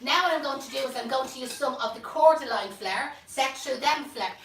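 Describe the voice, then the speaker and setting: Dublin woman, florist host, high bright voice, chatty, roomy distant mic. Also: fairly quick, slight background noise. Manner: chatty, homely, jokes asides. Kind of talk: live floral demonstration.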